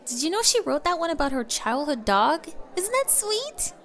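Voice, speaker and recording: Young female superfan played by a woman, high voice, breathless and gushing, roleplay acting to the listener. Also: very animated, normal speed, fairly bright tone, exaggerated voice. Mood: giddy, hurried.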